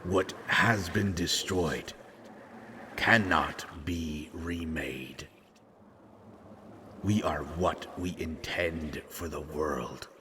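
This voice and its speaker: A man voicing a fragmented monster in an audio drama, a menacing yet regretful servant who talks haltingly and ominously. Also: extremely low pitch, noisy background, full character voice. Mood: somber, ominous. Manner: slow, halting, ominous, speaks of himself as "we". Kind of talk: scripted roleplay audio drama.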